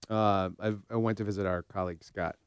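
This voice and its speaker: A man's voice: fairly low pitch, steady tone, fairly quick, smooth, no echo. An American man hosting an informal podcast discussion in an easygoing, conversational way. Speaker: podcast host and practicing therapist.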